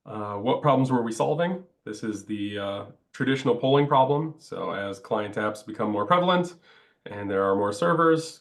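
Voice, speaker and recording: Man, low voice, stuffed-up nose, webinar presenter, halting with lots of uh. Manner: halting, many "uh" fillers. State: congested, stuffed up. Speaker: project presenter.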